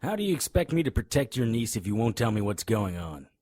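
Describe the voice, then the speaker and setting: Husky, low-voiced hardboiled detective man. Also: big pitch swings, brisk, a little mellow, dry recording. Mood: confrontational, accusing. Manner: confrontational, sardonic. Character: tough, righteous male private detective, protective and defiant. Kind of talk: hardboiled audio drama acting.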